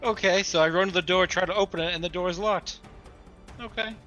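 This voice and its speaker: A man's voice: high voice, fairly even intonation, fairly quick, bright, forceful tone, muffled recording, faint music behind. A man talking casually as a player in a tabletop roleplaying game.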